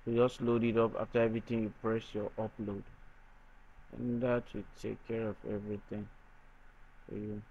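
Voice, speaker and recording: A man presenting a software tutorial in a halting way, often repeating his phrases. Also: on the low side, level tone, a little hiss or hum. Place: noisy room. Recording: dull lo-fi microphone sound.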